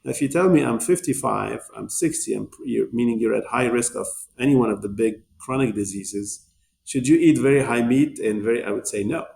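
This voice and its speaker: A man's voice: mid-range voice, brisk, creaky, a little mellow, slight background noise. A man, talking as a podcast guest and explaining things in a rambling way, often repeating words as he restarts phrases. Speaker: podcast guest, nutrition company executive. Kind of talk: conversational interview explanation.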